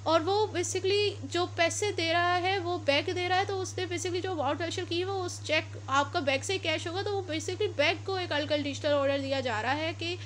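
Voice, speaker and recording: A woman's voice: extremely high pitch, normal speed, few pauses, a bit bright, a little hiss or hum. A woman giving a law lecture, explaining step by step and often stopping to correct herself. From Pakistan.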